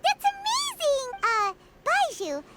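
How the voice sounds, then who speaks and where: A woman voicing a tiny excitable, indignant childlike fairy companion in a video game, squeaky and full of exclamations. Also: takes it slowly, music in the background, exaggerated voice. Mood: excited. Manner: speaks of self in third person, exclaiming.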